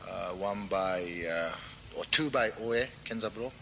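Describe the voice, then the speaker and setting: A man hosting a talk show, interviewing a guest politely and haltingly, with many fillers. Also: deep voice, gravelly, a bit bright, a little hiss or hum.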